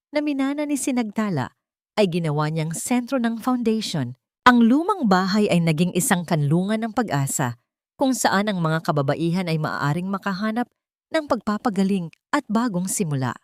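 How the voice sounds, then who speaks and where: A woman's voice: mid-range voice, animated, normal speed, no room sound, clean studio sound. A woman reading a scripted, sentimental love story as its storyteller.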